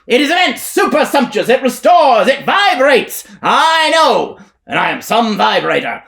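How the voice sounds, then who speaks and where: A man voicing a harsh-voiced male revivalist preacher who hollers a brash sales pitch for salvation. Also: sing-song, measured pace, creaky, fairly bright tone, heavily acted. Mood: ecstatic.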